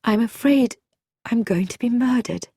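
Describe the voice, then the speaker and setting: Woman acting a high-voiced, frightened young wife. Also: warm tone, slightly rough, no echo, studio quality. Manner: hesitant, halting, pleading.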